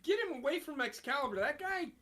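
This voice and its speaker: A man's voice: very high-pitched, animated, quick pace, over a noisy crowd. A man co-hosting a casual podcast, ranting bluntly and swearing freely about wrestling and politics. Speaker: podcast co-host. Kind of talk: casual opinionated chat.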